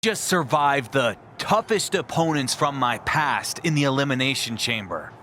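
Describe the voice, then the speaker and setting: Pro wrestling champion, confident, impatient, blunt, medium pitch, game cutscene, background noise. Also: male voice, expressive, normal speed, bright, forceful tone. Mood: defiant, resolute. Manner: blunt, confident, dryly sarcastic. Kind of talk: video game cutscene acting.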